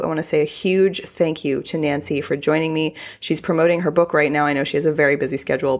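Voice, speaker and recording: Female podcast host, young adult, mild Texas lilt, low voice, effusive and chatty. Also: vocal fry. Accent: mild Texan. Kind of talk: casual solo podcast talk.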